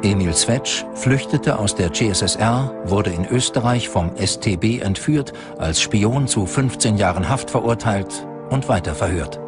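Low-voiced man narrating a documentary. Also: expressive, measured pace, music in the background.

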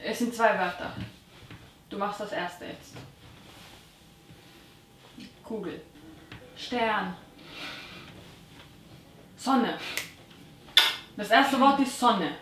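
A woman's voice: medium pitch, lively intonation, takes it slowly, pauses often, a bit bright. A woman in her twenties bantering casually during a game. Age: 20s. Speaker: player in a charades game. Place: small bedroom, indoors. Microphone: distant camera microphone. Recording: echoey room, distant mic, faint noise.

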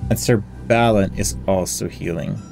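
Male gamer, lowish voice, casual think-aloud commentary over clear background music. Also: moderate pace, a little mellow. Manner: mutters through options, reading game text aloud. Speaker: gamer hosting a playthrough. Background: game music.

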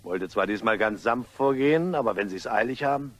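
An actor playing a smug, mock-polite blackmailer in a crime radio play, with a suave and taunting manner. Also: male voice, medium pitch, expressive, fairly quick, lightly acted. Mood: smug, threatening. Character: smug male blackmailer, mockingly courteous and menacing.

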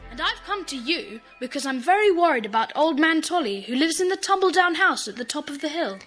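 A woman's voice: sits high, very animated, a little fast, fairly bright tone, faint music behind. A woman playing an earnest, kind-hearted schoolboy in a children's audio drama. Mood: worried. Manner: earnest, explanatory.

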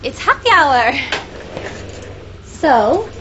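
A woman's voice: high voice, big pitch swings, extremely slow, fairly bright tone, a little hiss or hum, full character voice. A woman playing an upbeat store worker in an amateur radio ad. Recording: dull lo-fi, music behind.

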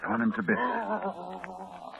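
A male actor playing a shaken, learned university doctor narrating a horror radio drama in a halting, dramatic manner. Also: sits high, fairly even intonation, vocal fry, heavily acted. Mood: horrified. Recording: muffled old radio recording with music.

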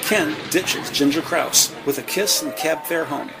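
A man's voice: on the high side, unhurried, gravelly, over loud music. A man reading scripted narration for an audio drama.

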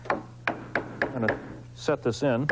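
A man hosting an instructional craft demonstration, explaining informally and in a folksy, unhurried way while he works with his hands. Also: medium pitch, steady tone, very noisy. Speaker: woodcarving instructor, show host.

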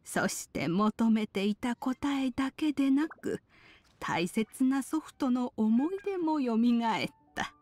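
Unhurried, wise old lady. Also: fairly high pitch, lively intonation, darkish tone, no echo. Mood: solemn, explaining. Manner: archaic elderly speech, unhurried sage delivery. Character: kindly wise old woman, a master. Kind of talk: Japanese game voice acting.

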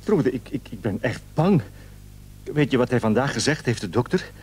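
A man's voice: medium pitch, animated, normal speed, darkish tone, breathy edge. An actor playing an anxious, frightened husband who stammers and repeats his words in a radio play. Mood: frightened, urgent. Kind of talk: Dutch radio play acting.

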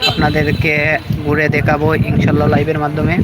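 A man's voice: fairly high pitch, monotone, brisk. A man hosting a casual live vlog outdoors, politely and repetitively addressing his viewers. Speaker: live-stream host. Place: outdoors in a public park. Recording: very noisy outdoor recording with vehicle sounds.

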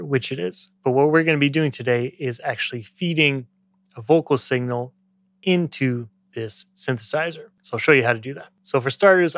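A man in his thirties giving a conversational, step-by-step software tutorial as an instructor, close to the microphone. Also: medium pitch. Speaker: music production tutorial instructor.

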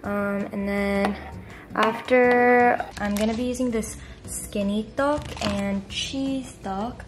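Slow, chatty young woman cooking. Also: mid-range voice, fairly even intonation, background noise. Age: young adult. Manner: slow, chatty, thinks aloud with fillers. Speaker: cooking vlog host. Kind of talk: casual cooking narration. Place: home kitchen, indoors. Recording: background music.

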